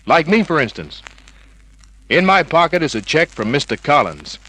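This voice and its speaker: An actor playing a cocky, wisecracking hard-boiled private investigator in an old-time radio drama, flippant, slangy and smug. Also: male voice, on the high side, very animated, moderate pace, sharp tone, exaggerated voice, rough recording. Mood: worldly, flippant.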